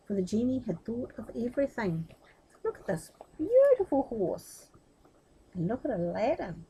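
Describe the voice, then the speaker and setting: A woman storyteller reading a children's tale aloud expressively, with animated, chatty asides. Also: mid-range voice, husky, low-quality recording.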